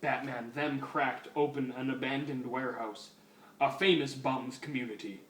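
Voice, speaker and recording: A man's voice: medium pitch, fairly even intonation, moderate pace, a little hiss or hum. A man giving a mock-serious, theatrical dramatic reading of fan fiction. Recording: roomy, distant mic under loud music.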